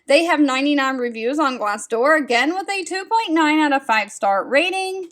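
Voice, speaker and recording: A woman's voice: high-pitched, a bit bright. A woman in her thirties hosting a vlog, talking straight to her viewers in a friendly, direct way. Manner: friendly, direct, repeats advice. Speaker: host sharing job leads. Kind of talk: casual scripted-feeling vlog talk to viewers.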